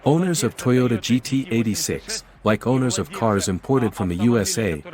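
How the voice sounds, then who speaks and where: A man's voice: medium pitch, normal speed, a crowd in the background. A man from Ukraine hosting a car show, walking around the cars outdoors and questioning the owner. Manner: guiding, asks questions, addresses viewers as guys. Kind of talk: car review walkaround.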